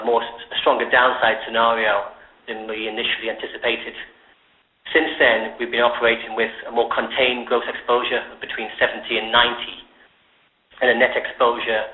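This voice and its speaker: Male fund manager, measured and formal, little pitch movement, tinny phone-conference sound. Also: echoey room. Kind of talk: conference call performance update. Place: conference call line.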